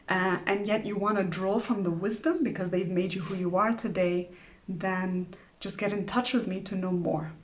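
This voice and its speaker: A woman's voice: medium pitch, darkish tone, dull audio. A woman in her forties, born in Lebanon and raised in Greece, who practises EFT and talks earnestly about her own healing. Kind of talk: personal reflective talk to camera.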